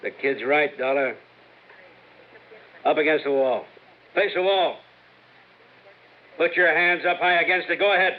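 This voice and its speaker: A man's voice: on the high side, unhurried, pauses often, close to an everyday voice. Menacing male villain, voiced by a man, cold commands, lo-fi hissy old radio recording. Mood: threatening.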